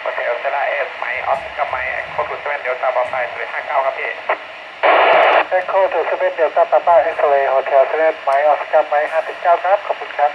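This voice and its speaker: A man's voice: on the high side, steady tone, takes it slowly, long pauses. A man working as an amateur radio operator, giving terse call signs over a two-way radio. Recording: muffled radio link, loud static.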